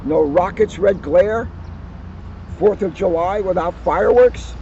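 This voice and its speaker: A man who is a police officer, reading a children's picture book aloud outdoors with lively storytelling. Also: high-pitched, measured pace, highs cut off, slight background noise. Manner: lively storytelling, livens up on quoted lines. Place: outdoors on a hill at a historic house.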